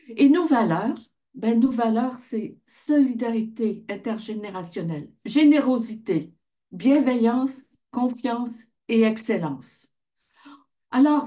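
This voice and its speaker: A woman's voice: on the high side, mellow. A woman giving an informal explanatory presentation, conversational, asking questions and answering them herself. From Ottawa region, Canada. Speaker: founder of a volunteer reading association, presenter.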